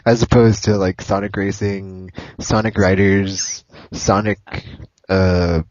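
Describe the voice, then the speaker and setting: A man's voice: deep voice, level tone, slow pace, a little mellow, no echo. A young man hosting a casual gaming podcast, rambling with lots of fillers in a lo-fi recording. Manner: rambling, heavy use of "like" and fillers. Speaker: podcast host. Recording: dull lo-fi podcast audio.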